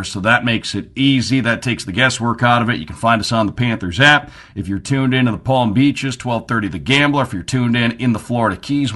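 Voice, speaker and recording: A man's voice: mid-range voice, fairly bright tone. A male sports radio host with a mid-range voice. He talks to listeners in a chatty, conversational style and leans on key details with emphasis. His delivery moves easily between show talk and promotional plugs.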